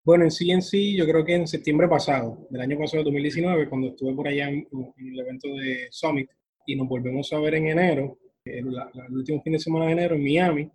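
Young male interviewer, husky and a bit high-pitched, effusive, conversational. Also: steady tone, quick pace, a little mellow, poor audio. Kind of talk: casual chat interview.

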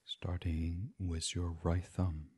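Deep, husky, breathy man guiding sleep meditation. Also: flat delivery, slow. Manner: soothing, hushed, drifting into whispers. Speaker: guided meditation host. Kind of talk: gentle guided sleep meditation, ASMR.

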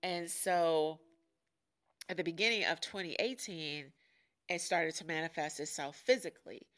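A woman in her forties from Chicago hosting a podcast, talking frankly and jokingly in a deep, nasal voice. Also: moderate pace, fairly bright tone. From Chicago, USA. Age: 40s. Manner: frank and joking, laughs often. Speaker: podcast host. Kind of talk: casual candid monologue.

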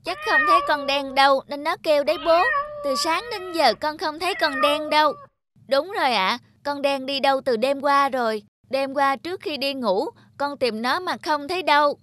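A woman's voice: high voice, normal speed, fairly bright tone, a little hiss or hum. A woman playing a stubborn young country girl with a childlike manner in a cartoon folk tale. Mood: puzzled, concerned. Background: prominent background music.

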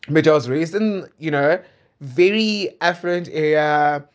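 A man's voice: fairly high pitch, a little slow, muffled recording. A South African man in his twenties hosting a personal diary podcast, talking casually and candidly in a conversational way with playful asides. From South Africa, suburban Centurion. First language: Pedi. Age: 20s. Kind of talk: casual confessional monologue.

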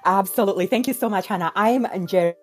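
A woman's voice: mid-range voice, fast talker. A woman from Kenya speaking as a panelist, explaining things in an articulate, earnest way. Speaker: podcast host, panelist. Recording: live audio-app chat, faint music.

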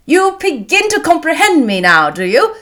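A woman voicing an amiable, courteous young gentleman in a period novel, earnest and emphatic. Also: high-pitched, big pitch swings, moderate pace, pitched above the performer's own voice, full character voice. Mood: playful.

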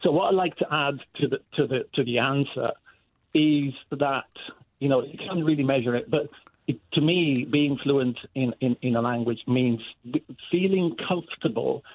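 A man calling in to a radio show, explaining his point in a measured, slightly halting way. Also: medium pitch. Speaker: caller. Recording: phone line.

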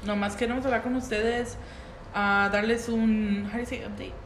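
A woman's voice: on the high side, steady tone, moderate pace. A young woman from the United States with a Mexican-American Spanglish accent, co-hosting a casual podcast, chatty and switching readily into English. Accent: Mexican-American Spanish, Spanglish. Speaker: podcast co-host. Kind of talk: casual bilingual chat. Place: workplace. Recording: roomy echo, faint background noise.

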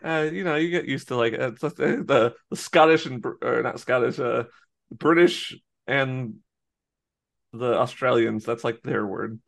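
Casual American podcast co-host, gravelly voice, laughs through rambling anecdotes. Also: male voice, mid-range voice, a little slow, fairly bright tone. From United States. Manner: laughs often, rambling storytelling with restarts. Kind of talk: casual chat.

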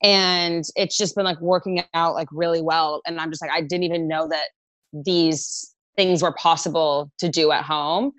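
A woman's voice: deep voice, steady tone, normal speed, a bit bright, no room sound. A young woman in casual chat as a guest, talking freely with lots of fillers and swearing.

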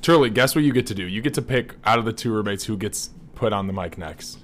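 A man's voice: lowish voice, fast, a bit bright, slight background noise. A young man hosting a casual podcast chat with his roommates, talking animatedly and laughing often. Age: young adult. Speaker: podcast host.

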